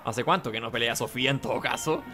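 A man's voice: mid-range voice, fast, bright, forceful tone, faint music behind. A man giving casual, rambling let's play commentary, talking to himself. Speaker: gaming host.

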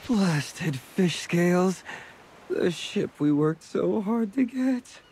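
Fairly high male voice, young pirate sailor, breezy, confident, swaggering, video game. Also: lively intonation, takes it slowly, darkish tone, slightly rough, heavily acted. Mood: practical.

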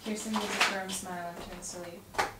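A woman's voice: medium pitch, little pitch movement, moderate pace. A young woman reading scripted stage directions aloud at a table read. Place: home dining room, around a table. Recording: roomy, distant mic, clear noise.

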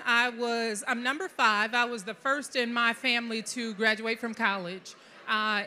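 Middle-aged council lady, high bright voice, earnest, handheld mic, faint room noise. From Detroit. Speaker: city council member. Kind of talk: panel discussion answers. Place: conference stage, indoors.

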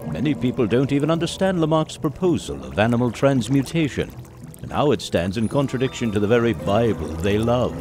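A man's voice: mid-range voice, sing-song, fairly quick, over music, natural voice. An actor playing a greedy, calculating 1830s English businessman in an audio drama, with formal, pompous diction. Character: scheming, greedy 1830s English elixir-company boss, cold and calculating. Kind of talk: family audio drama acting.

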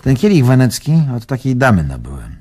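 An actor playing an old, carefree Polish antique collector, telling stories expressively in a radio drama. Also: male voice, mid-range voice, brisk, husky. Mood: nostalgic. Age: older. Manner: expressive, storytelling, old-world phrasing. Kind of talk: radio drama acting, reminiscing monologue.

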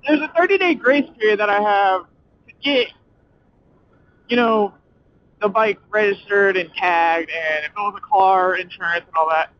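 A young man chatting casually and excitably as a motorcycle vlogger, swearing and using slang as he rambles while riding. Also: sits high. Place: outdoors, riding a motorcycle at night. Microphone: helmet communicator mic inside helmet. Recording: muffled helmet intercom mic, clear background noise.

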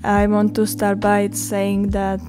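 A young woman from the Czech Republic with a Czech accent, narrating her art vlog in a halting way, with many fillers and restarts. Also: mid-range voice, monotone, over music. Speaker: art vlogger. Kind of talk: voice-over narration.

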